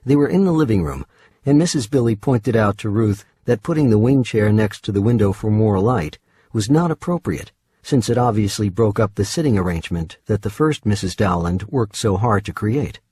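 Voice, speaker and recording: A man's voice: fairly low pitch, expressive, normal speed, mellow, husky, no room sound. A man narrating fiction from a script as an audiobook reader, recorded in a studio. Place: dry studio.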